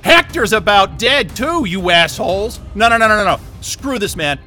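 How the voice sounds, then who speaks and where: An actor playing a panicked, defiant hothead in an audio drama, agitated and swearing. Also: male voice, high-pitched, expressive, moderate pace, sharp tone, faint music behind, exaggerated voice. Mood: panicked, angry. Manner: agitated, sweary, repeating words. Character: panicked, defiant, hot-headed man trapped in a facility.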